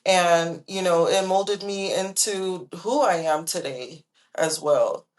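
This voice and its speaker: A woman in her twenties telling her life story earnestly as an interview guest, with many pauses and fillers. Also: fairly low pitch. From Southern California. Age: 20s.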